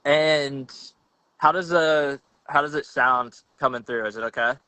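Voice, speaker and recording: A man's voice: mid-range voice, measured pace, sharp tone, poor audio. A young man hosting a podcast in a casual, slangy, rambling chat over a video call, often restarting his sentences. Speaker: podcast host.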